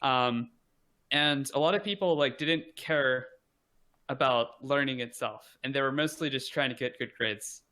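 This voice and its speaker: A man's voice: mid-range voice, measured pace, sharp tone. A young man talking casually as an interview guest, rambling with many fillers and restarts. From United States. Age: young adult.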